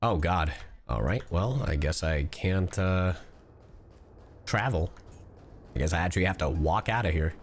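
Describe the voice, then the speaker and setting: A man's voice: low-pitched, unhurried, long pauses, a little hiss or hum. A man livestreaming a video game with exclamatory, mock-confrontational, sweary reactions. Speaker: livestreamer, VTuber. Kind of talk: live game stream reaction commentary.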